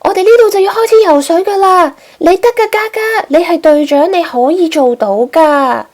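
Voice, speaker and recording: A woman's voice: very high voice, moderate pace, a little mellow, pitched above the performer's own voice. A woman voicing a spirited, encouraging young female penguin in a storybook, with playful exclamations.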